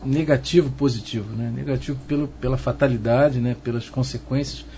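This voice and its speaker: A dull, slightly noisy recording carries a middle-aged man with a Carioca accent. He speaks like a professor being interviewed, explaining step by step in a measured way and often seeking a nod of assent. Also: steady tone, warm tone. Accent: Carioca Brazilian Portuguese. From Rio de Janeiro, Brazil. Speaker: interviewed expert, professor of security. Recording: muffled low-fi sound, noticeable background noise.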